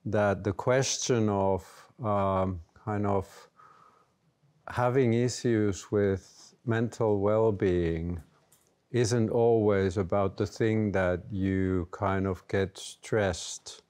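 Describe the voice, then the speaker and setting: A man's voice: on the low side, steady tone, very slow, a little mellow. A man in his fifties with a Finnish accent, speaking hesitantly as a guest in a panel discussion, with many fillers and restarts. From Finland. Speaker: panel guest, education leader. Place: indoor library room with bookshelves.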